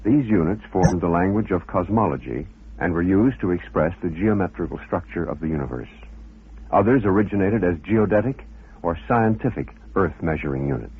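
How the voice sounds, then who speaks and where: A man reading scholarly narration in a formal, measured, expository manner. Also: deep voice, lively intonation, breathy edge. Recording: muffled phone-like old radio recording.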